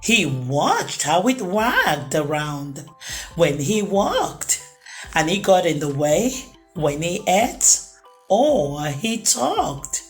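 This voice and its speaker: A woman narrating a children's storybook as a storytime host, with expressive, deliberate phrasing for young listeners. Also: fairly low pitch, soft background music, rough recording. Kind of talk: children's storybook read-aloud, rhyming narration.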